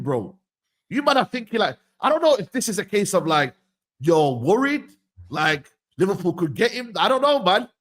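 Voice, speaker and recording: A man's voice: high-pitched, fairly bright tone. A man hosting a casual football debate, slangy and insistent, often repeating himself. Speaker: host of a football talk stream.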